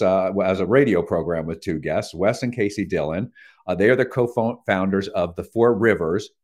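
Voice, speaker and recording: A male radio host with a deep, low-pitched voice leads a friendly, conversational interview. He speaks with easy fillers and a relaxed interviewer's flow.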